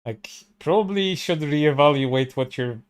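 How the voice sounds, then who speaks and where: A man's voice: on the high side, unhurried, smooth. A man commenting on a stream, stammering through restarts, swearing a lot and sounding sarcastic. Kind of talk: casual commentary.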